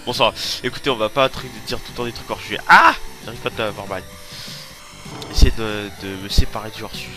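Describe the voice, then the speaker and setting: A man with a stuffy cold giving excitable, swearing commentary over his own racing gameplay. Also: on the low side, quick pace. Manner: excitable, swearing, yelps and laughs while playing. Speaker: let's play host. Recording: game music over voice. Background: loud game music.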